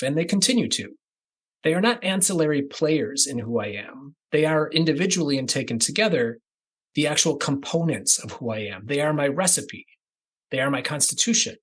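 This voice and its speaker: A man from the American Midwest hosting a podcast, reading a scripted introduction in a measured, deliberate cadence that moves through lists. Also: mid-range voice, breathy edge. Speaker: podcast host.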